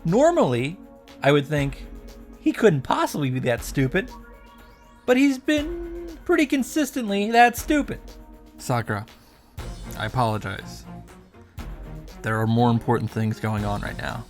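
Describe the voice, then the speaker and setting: A man's voice: fairly high pitch, lively intonation, unhurried, long pauses. A man giving a casual, opinionated story recap and commentary, with emphatic, sarcastic asides. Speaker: video creator recapping a visual novel. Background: background music.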